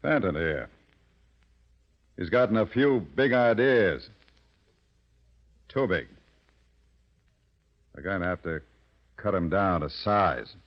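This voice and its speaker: An actor in 1940s radio style playing a ruthless, blustering gangster boss, commanding and excitable. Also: male voice, deep voice, slow pace, pauses often, full character voice. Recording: muffled old radio drama recording.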